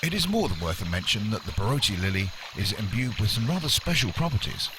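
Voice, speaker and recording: A man's voice: fairly low pitch, big pitch swings, quick pace, background noise. A man playing a wordy, unflappable, pompous nature presenter in a mock radio documentary, speaking with formal politeness. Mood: unruffled.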